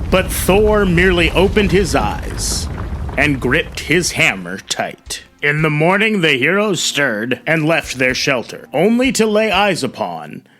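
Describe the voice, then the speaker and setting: A male storyteller with a bright, fairly high voice and highly animated delivery. He narrates with dramatic phrasing and slips into conversational commentary as host. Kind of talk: storytelling narration with casual asides.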